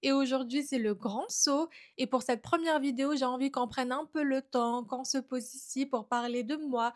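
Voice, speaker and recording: A woman in her thirties with a bright, high voice tells her own story in an easy, chatty way. She is a fashion creator introducing herself to her viewers. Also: fairly even intonation, moderate pace. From Algeria. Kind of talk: casual vlog introduction.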